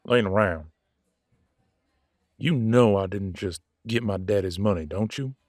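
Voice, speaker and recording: Man acting a cocky, low-voiced werewolf vet. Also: measured pace, darkish tone, no room sound, studio quality, natural voice. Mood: brash, needling. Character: cocky, casual male werewolf veterinarian, gruff but soft-hearted. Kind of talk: audio roleplay drama dialogue.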